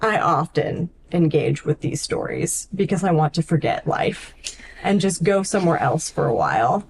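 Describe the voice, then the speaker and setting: A woman co-hosting a podcast, casually discussing literature in a thoughtful, halting way with frequent "like". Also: lowish voice, sing-song, a little hiss or hum. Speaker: podcast co-host.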